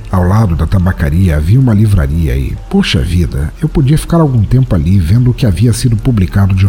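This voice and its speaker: A man acts out a broke, hard-drinking Brazilian writer narrating an audio drama. His low voice is very mellow and swings widely in pitch as he muses wistfully, a weary romantic telling his own story. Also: breathy edge, exaggerated voice. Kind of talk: first-person literary audio drama narration.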